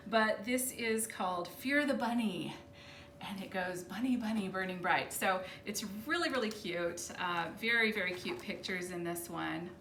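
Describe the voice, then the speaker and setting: A woman's voice: mid-range voice, moderate pace, a bit bright. A woman librarian casually presenting children's books in a chatty, informal way, explaining things as she goes. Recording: roomy echo with faint background noise.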